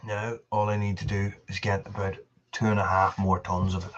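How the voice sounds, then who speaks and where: A man's voice: fairly low pitch, moderate pace, dull audio, slight background noise. Rambling man on a live stream. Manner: rambling and excitable, laughs often, repeats words. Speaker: channel host on a live stream. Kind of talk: casual live-stream chat answering viewers.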